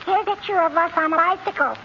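A woman playing a cheeky little girl who cracks deadpan jokes in 1940s radio comedy. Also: extremely high pitch, fast, heavily acted. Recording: muffled, faintly noisy old radio broadcast.

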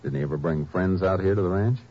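An actor playing a steady, grim veteran lawman in a 1950s radio drama, calm and measured. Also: low voice. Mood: firm. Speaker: Texas Ranger and narrator. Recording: noisy, muffled old radio broadcast.

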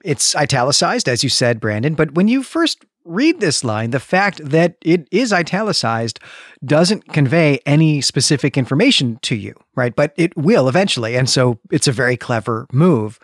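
A man's voice: on the high side, sing-song, moderate pace, dry recording, clean studio sound. A man co-hosting a podcast, talking about fiction in an enthusiastic, emphatic, conversational way. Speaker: podcast co-host. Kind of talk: conversational literary podcast discussion.

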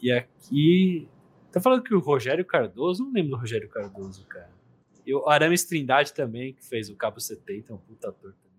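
A man's voice: medium pitch, very animated, a little fast, husky. A Brazilian man co-hosting a casual film review chat, laid-back and slangy, laughing often. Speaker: podcast co-host.

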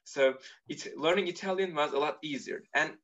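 Man, bright voice, thoughtful, lots of fillers, dull lo-fi call sound. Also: a little high, a little fast. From Turkey. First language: Turkish. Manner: thoughtful, explains at length with many fillers. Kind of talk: casual video call chat.

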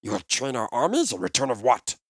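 A man's voice: medium pitch, huge pitch swings, fairly quick, breathy edge, dry recording, studio quality, voice pushed up. A man voicing a sly, mocking little goblin with oily, fawning, questioning speech in a fantasy audiobook. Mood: oily, probing. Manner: obsequious flowery speech, all in questions.

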